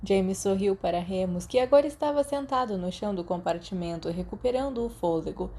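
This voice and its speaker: Mellow-voiced Brazilian woman narrating fiction. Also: medium pitch, brisk, breathy edge. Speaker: audiobook narrator.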